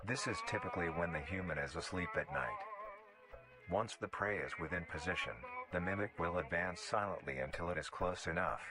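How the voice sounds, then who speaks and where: A man reading scripted narration in the style of a nature documentary, formal, measured and matter-of-fact. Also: sits low, poor audio. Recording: music behind the voice. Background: clearly audible music.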